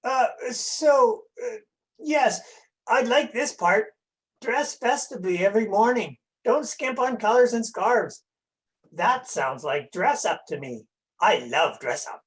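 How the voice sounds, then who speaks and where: A woman voicing a childlike, excitable and easily distracted dragon in a scripted children's church dialogue, eager and a bit hesitant. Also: a little high, slow. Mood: eager, chatty.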